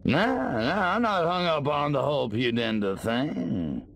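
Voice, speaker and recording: Slow-drawling cocky little alien invader. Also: male voice, medium pitch, creaky, breathy edge, heavily acted. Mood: flippant. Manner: sardonic, wisecracking, deadpan. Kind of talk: video game voice acting.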